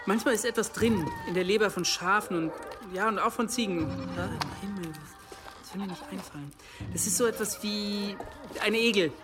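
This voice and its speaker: An actor playing an earnest young monk in a radio drama, explaining things in a hesitant but knowledgeable way. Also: male voice, high voice, normal speed, over music, close to an everyday voice. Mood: thoughtful, earnest.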